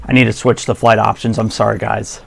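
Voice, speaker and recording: A man's voice: fairly low pitch, level tone, fairly quick, gravelly, noisy background. A man playing a video game and giving light, casual commentary as he goes.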